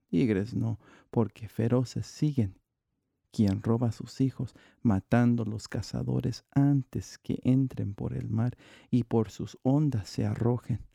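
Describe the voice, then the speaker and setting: A man recites a dramatic monologue in classical verse. His voice is very dark and soft-edged, with a gravelly creak and an unhurried pace. The sound is close and dry. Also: mid-range voice, dry recording. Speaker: monologue reader.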